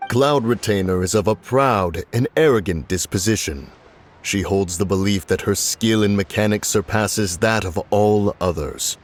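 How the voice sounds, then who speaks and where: An actor playing a stern, proud ancient spirit in human form in a video game, with pompous, archaic phrasing. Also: male voice, deep voice. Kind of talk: English video game dub acting. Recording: voice over background music.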